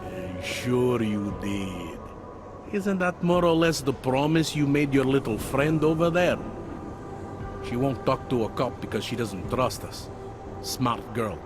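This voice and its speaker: An actor voicing a world-weary, pragmatic police detective in a video game, with a wry, insinuating manner. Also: male voice, medium pitch, animated, slow pace, over music. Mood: confiding, sly. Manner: wry, insinuating, tags questions with "eh" and "huh". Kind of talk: video game cutscene dialogue.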